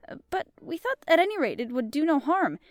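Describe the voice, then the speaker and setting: A woman's voice: high-pitched, big pitch swings, a little fast, dry recording, studio quality, pitched above the performer's own voice. A woman reading aloud and voicing a chatty, breathless middle-aged housewife who gushes and rambles. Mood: gushing. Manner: gushing and rambling.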